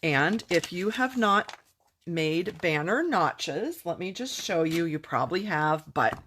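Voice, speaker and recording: A woman's voice: fairly low pitch, animated, slow pace, fairly bright tone, slight background noise. A woman chatting upbeat through a live-streamed craft tutorial, thanking viewers and answering comments as she works. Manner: upbeat and enthusiastic. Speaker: craft demonstrator.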